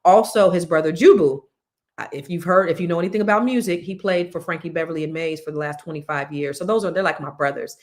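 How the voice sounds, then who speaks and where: A woman hosting a live show, chatting excitedly to viewers; her voice is deeper than usual from cigar smoke. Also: quick pace. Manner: chatty, excitable storytelling with many fillers. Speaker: host of a live money and news show. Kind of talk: casual chat to viewers.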